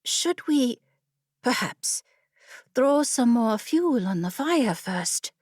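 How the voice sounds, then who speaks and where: A bookish young woman on her travels, as a female narrator voices her, speaks in an eager, wordy way. She is musing and a little self-conscious, a storybook heroine recorded close and dry with unhurried delivery. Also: on the high side, sing-song, darkish tone, no echo, voice pushed up, heavily acted. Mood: nervous, hesitant.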